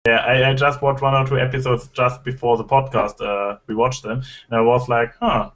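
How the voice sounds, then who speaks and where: Questioning European man, medium pitch. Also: flat delivery, normal speed, fairly bright tone. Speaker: podcast panelist. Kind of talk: casual discussion.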